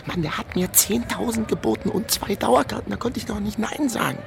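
A man playing a scheming amateur sleuth in a radio crime drama, talking in a halting, persuasive, wheedling way. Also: high-pitched, creaky, music in the background. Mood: pleading. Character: scheming, pleading male amateur sleuth in trouble.

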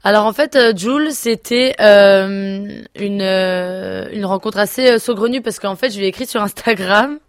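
A woman in her twenties, a singer answering interview questions casually, pausing often with fillers and restarts. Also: mid-range voice, no room sound, clean studio sound. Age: 20s. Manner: hesitant, many fillers and restarts. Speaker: singer guest.

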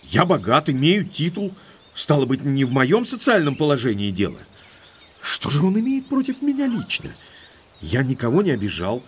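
An actor playing an indignant, lovestruck young English baronet in an audio drama, speaking emphatically like an exasperated gentleman. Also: mid-range voice, huge pitch swings, normal speed, slight background noise. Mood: aggrieved.

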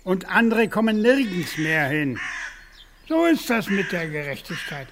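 An actor playing a bitter, world-weary old farmer facing death, brooding and halting, in a German radio play. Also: male voice, sits high, big pitch swings, creaky, crowd noise, exaggerated voice. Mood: resigned, bitter. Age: older.

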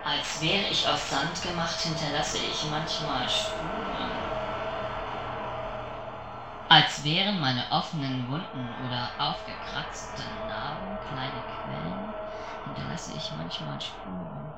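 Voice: mid-range voice, big pitch swings, a little slow. A performer playing a cold, vengeful angel in a poetic radio-play monologue, solemn, declamatory and sorrowful. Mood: grim. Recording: roomy echo with music behind. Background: music.

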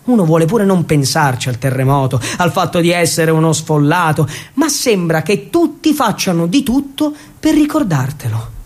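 A man delivering scripted theatrical narration, emphatic and dramatic, with deliberate pauses. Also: a little high, sing-song. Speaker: actor and storyteller.

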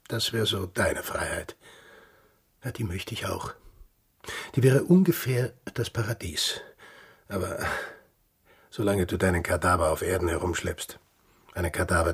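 A cynical old salt of a seaman, low and extremely raspy. He talks with gravelly, sardonic weariness in a literary radio play. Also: heavily acted. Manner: sardonic, world-weary. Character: cynical, world-weary former seaman. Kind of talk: literary radio drama acting.

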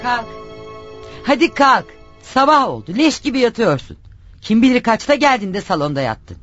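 An actress playing a nagging, suspicious yet concerned wife in a radio play, scolding and questioning. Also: female voice, a little high, sing-song, normal speed, faint music behind.

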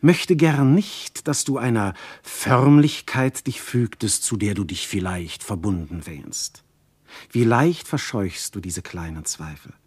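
An actor playing an ardent, jealous god posing as a husband, declaiming classical verse in a radio drama with a husky voice. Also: male voice, fairly low pitch, lively intonation, slow pace, dry recording, exaggerated voice. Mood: pleading. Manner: declamatory, lofty, with dramatic hushed passages.

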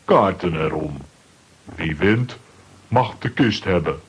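A cartoon strongman for a children's radio play. He is gruff and sure of himself, speaking very low and slowly in blunt, clipped phrases with a husky grain. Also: lively intonation, exaggerated voice. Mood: firm, challenging. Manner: clipped telegram-style sentences without articles.

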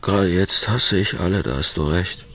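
An actor playing a bitter, proud working-class man in a radio drama, terse and guarded, with a gravelly voice. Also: sits low, normal speed, fairly bright tone, slight background noise.

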